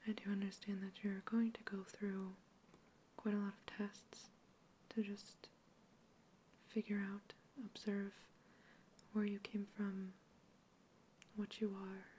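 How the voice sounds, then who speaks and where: A young woman whispering close to the mic in a sci-fi ASMR roleplay, calmly questioning and reassuring as a scientist observing an alien. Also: mid-range voice, takes it slowly, long pauses, slight background noise, low-quality recording. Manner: soft whisper throughout, calm and reassuring. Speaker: ASMR creator. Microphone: close ASMR microphone.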